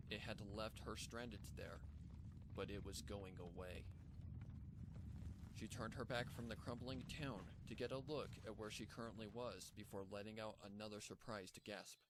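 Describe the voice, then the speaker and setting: A man reading a scripted story as a dramatic narrator, with a noisy background. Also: lowish voice. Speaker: fan fiction narrator.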